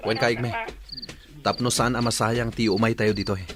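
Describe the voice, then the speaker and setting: A man's voice: lowish voice, flat delivery, moderate pace, crowd noise, natural voice. A man playing an anxious, obedient young underling in a radio drama, questioning and deferential.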